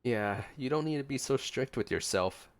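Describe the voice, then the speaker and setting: A man's voice: mid-range voice, expressive, moderate pace. A man giving casual reaction commentary as a gaming host, playfully talking along with the game's characters. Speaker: gaming video host reacting to a story quest. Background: soft game music.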